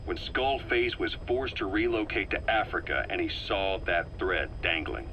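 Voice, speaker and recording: A male actor playing a grave, calculating military intelligence man, delivering a deliberate, ominous briefing as a video game audio log. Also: lowish voice, sharp tone, low-quality recording. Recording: faint noise, cassette tape briefing.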